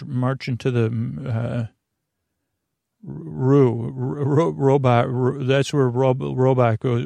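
A man with a creaky voice hosting a sleep podcast, giving a meandering, halting, soothing bedtime monologue that stammers and drifts off into tangents. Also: mid-range voice, darkish tone, no echo.

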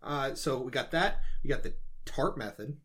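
A man co-hosting a podcast in casual, comedic banter, thinking aloud in a halting, playful way. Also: medium pitch, animated, fairly bright tone. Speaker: podcast co-host.

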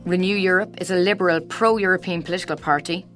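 A woman hosting a news programme, introducing guests and asking questions in a measured way. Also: fairly low pitch, fairly even intonation, fairly bright tone, faint music behind. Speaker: news host. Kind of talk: news presenting and interviewing.

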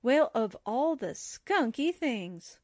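An actress playing a jumpy, wisecracking American newspaperwoman sidekick in a 1940s radio drama, comically frightened with drawn-out exclamations. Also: a little high, big pitch swings, no room sound, studio quality. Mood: incredulous.

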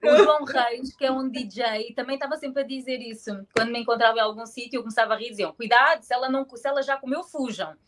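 A woman's voice: on the high side, quick pace, few pauses, a little hiss or hum. A woman in her forties from Angola who grew up in Portugal, chatting casually and playfully as an interview guest. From born in Angola, grew up in Portugal. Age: 40s. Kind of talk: casual chat.

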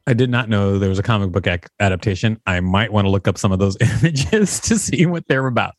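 A man's voice: on the low side, lively intonation, brisk, dry recording, studio quality, faint music behind. A man co-hosting a podcast, reading reviews aloud and joking between them, laughing often and slipping into comic impressions. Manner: playful, laughs often, mimics people in comic asides. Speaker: podcast co-host. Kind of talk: reading aloud and casual commentary.

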